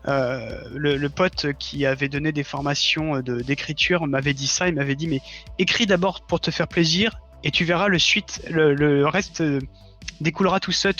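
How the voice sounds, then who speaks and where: A man's voice: on the high side, sharp tone, highs cut off. A man chatting casually as a guest on a livestream, explaining things earnestly and giving advice. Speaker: interview guest, audio fiction author. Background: background music.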